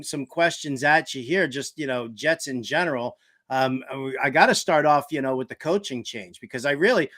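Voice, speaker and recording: A man hosting a casual sports talk interview, emphatic and halting as he builds his questions. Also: a little high, sharp tone.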